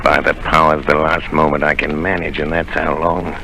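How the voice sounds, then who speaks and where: Scheming pirate cook, gravelly, sharp-edged, 1930s radio play, hissy old broadcast. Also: male voice, extremely low pitch, level tone, normal speed, heavily acted. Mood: menacing. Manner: sly, persuasive, growling. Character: scheming one-legged pirate cook, older man. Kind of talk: 1930s radio drama acting.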